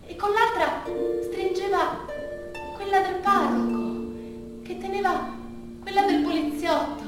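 Woman, stage monologue, very high voice, halting dramatic phrasing, distant from mic, music behind. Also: heavy reverb. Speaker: stage actress, monologue performer. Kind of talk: dramatic theatrical monologue storytelling.

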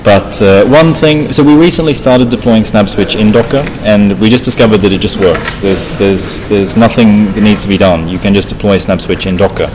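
A man answering technical questions as an interview guest in a measured, modest and thoughtful conversational way. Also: on the low side, noisy background. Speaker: interview guest, software developer. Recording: live recording with crowd chatter behind. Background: crowd chatter.

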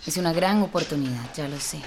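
A woman's voice: low voice, quick pace. An actress with a Costa Rican accent playing an earnest, devoted young woman in a conversational radio drama. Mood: torn, affectionate. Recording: clear background noise.

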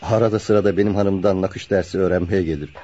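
An actor playing a friendly, contented married carpenter in a radio play. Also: male voice, deep voice, steady tone, quick pace, mellow. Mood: amused, contented. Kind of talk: naturalistic radio play dialogue. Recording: dull lo-fi radio play recording.

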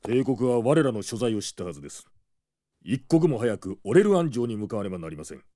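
Stern old knight, low dark voice, grave and formal, anime drama cd. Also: male voice, big pitch swings, brisk, no room sound. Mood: firm. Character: stern, dignified old male knight advisor. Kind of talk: anime audio drama acting.

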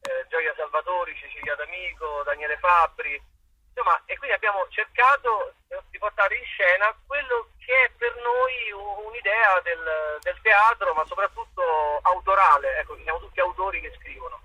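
A man speaks in a very bright, forceful voice with a husky rasp. He talks as an interviewed stage actor, feeling for words and doubling back. The recording is dull and narrow. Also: high-pitched, very animated, normal speed, no echo. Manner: hesitant, searching for words, repeats himself. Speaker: actor and playwright being interviewed. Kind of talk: conversational interview answers.

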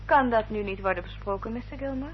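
An actress playing a sensible, protective elder sister in a radio drama, composed and articulate. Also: female voice, on the high side, quick pace, natural voice. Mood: practical, inquiring. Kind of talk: radio drama acting and narration. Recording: dull old radio recording, faint hum.

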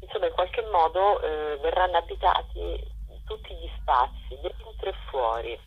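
A woman's voice: on the low side, lively intonation, moderate pace, husky. A woman speaking as a festival director in an informal radio interview, hesitant and searching for words. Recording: dull lo-fi telephone line.

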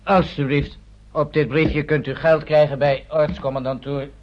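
An actor playing a brusque, domineering German soldier in a radio play, speaking clipped broken phrases with a German accent. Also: male voice, on the high side, flat delivery, normal speed, lightly acted. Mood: curt. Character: brusque occupying German soldier, halting foreign speech. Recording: dull noisy old radio recording.

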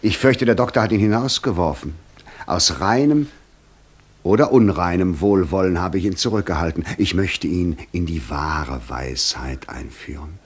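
Radio play: a courteous, sly devil, ironic, silky-mannered and mocking. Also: male voice, sits low, moderate pace, breathy edge. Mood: sly, teasing. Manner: sly, ironic, theatrically polite. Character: suave, mocking devil posing as a courteous gentleman. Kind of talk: classic German radio play acting.

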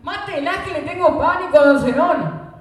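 A woman voicing a fussy, easily frightened country companion in a comic radio play, scolding and quick to panic. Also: extremely high pitch. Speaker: radio drama actor. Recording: very echoey room, voice far from mic.

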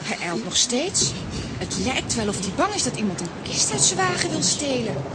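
A woman playing a plucky, bossy young girl in a children's audio drama, brisk and scolding. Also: fairly high pitch, very animated. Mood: worried, resolute. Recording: noisy audio with rain behind.

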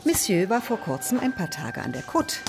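An actress playing a brisk, wry police colleague in a radio crime drama. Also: female voice, medium pitch, very animated, creaky, music in the background. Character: brisk, dry-witted female police colleague presenting a dossier.

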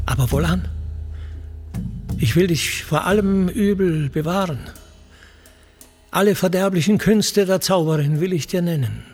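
A commanding, protective messenger god acted by a man instructs a mortal in solemn verse for a radio play. The voice is fairly high and gravelly, slow and declamatory, each instruction set down deliberately. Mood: concerned warning.

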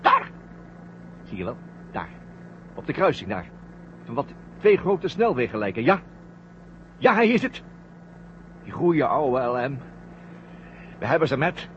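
A man playing an excitable, eager astronaut crewmate in a vintage science fiction radio play. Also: mid-range voice, measured pace, long pauses. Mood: excited. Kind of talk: 1970 Dutch science fiction radio drama acting. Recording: muffled, noisy old radio play recording.

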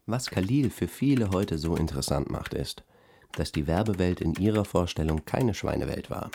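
Jaded adman, extremely low voice, solemn, emphatic, articulate, radio drama interview, slight background noise. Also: expressive, moderate pace, vocal fry, dark tone. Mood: solemn, reverent. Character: earnest, jaded advertising man, devoted admirer of an artist.

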